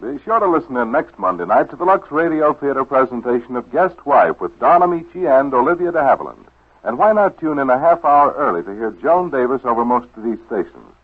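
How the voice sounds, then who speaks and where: A man announces for a radio network in scripted 1940s style. His husky voice moves with animated intonation through a tinny vintage recording. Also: mid-range voice, a little fast.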